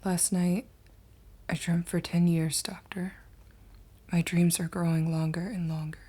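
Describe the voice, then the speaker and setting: A woman playing a tormented male hospital patient in a horror manga dub, breathy and whispery, with a brooding, dramatic delivery. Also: lowish voice, level tone, unhurried, warm tone. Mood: haunted, weary.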